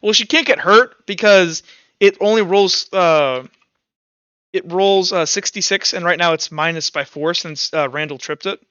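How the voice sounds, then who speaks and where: Young male game master, high clear voice, casual, thinking aloud, dry slightly muffled mic. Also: a little slow, bright, forceful tone, no echo. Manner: informal, conversational, thinking aloud. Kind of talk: casual narration of a tabletop roleplaying game.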